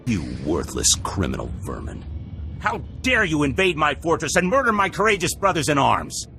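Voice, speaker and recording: An actor playing a self-righteous, theatrical rebel leader in a video game, with a pompous, declamatory manner. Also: male voice, a little high, wildly swooping pitch, normal speed, vocal fry, bright, forceful tone, soft background music, heavily acted. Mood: righteous fury.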